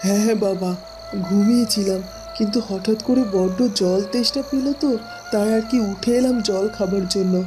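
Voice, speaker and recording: A woman's voice: medium pitch, moderate pace, lightly acted. A woman voicing a motherly, evasive middle-aged queen mother in a cartoon, hesitant as she explains herself. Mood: flustered. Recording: music and night crickets behind.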